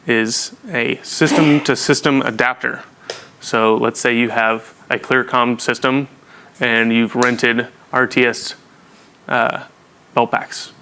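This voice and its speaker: A man's voice: medium pitch, bright, forceful tone, clear, resonant tone. A man presenting an informal technical lesson, explaining things in a casual, plain-spoken way. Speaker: presenter of a technical training session.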